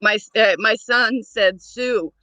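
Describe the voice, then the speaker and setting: A playful woman in casual chat who passes along her son's questions and laughs easily. Her voice is high and bright, and the sound is close and dry. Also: slow pace, no room sound.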